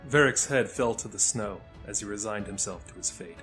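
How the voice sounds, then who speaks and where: A man's voice: lowish voice, brisk, a bit bright, poor audio. A man narrating a scripted audio fiction story. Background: faint music.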